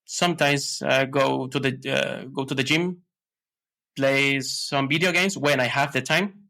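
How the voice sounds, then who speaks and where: Bright-voiced man, hesitant. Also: mid-range voice, steady tone, a little slow, vocal fry. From Ecuador. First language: Spanish. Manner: hesitant, many fillers and restarts. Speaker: podcast guest. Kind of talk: casual interview answers.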